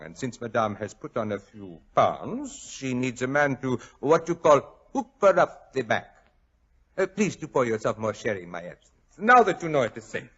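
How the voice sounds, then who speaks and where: An actor playing a suave, worldly French husband with an accent, smooth and pompous in halting foreign phrasing, in 1940s radio style. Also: male voice, medium pitch, fairly bright tone. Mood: courteous, smooth. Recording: dull vintage radio recording.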